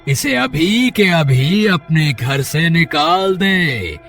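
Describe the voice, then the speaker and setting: A man's voice: on the high side, sing-song, very slow, a bit bright, faint music behind, exaggerated voice. A man voicing a hungry, menacing severed-head ghost in a horror cartoon, threatening and commanding. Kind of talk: Hindi horror cartoon character voice.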